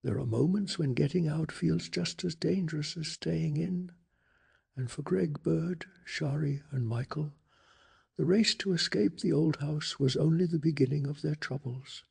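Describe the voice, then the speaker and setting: A man softly narrating a bedtime story in an ASMR style, with a calm, hushed, breathy delivery and some gravel in the voice. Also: a little high. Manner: slow, calming, hushed delivery. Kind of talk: soft-spoken ASMR storytelling.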